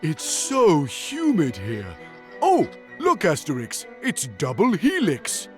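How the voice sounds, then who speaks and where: Childlike strongman, naive, guileless, extremely animated pitch, cartoon game, music behind. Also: takes it slowly, exaggerated voice. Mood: innocent wonder. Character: big, childlike, simple-hearted male Gaulish strongman.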